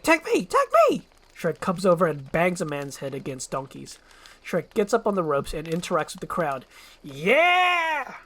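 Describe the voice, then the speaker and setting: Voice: mid-range voice, a bit bright, a little hiss or hum. A storyteller narrating a screenplay as a fairy-tale story, describing the scenes in the present tense.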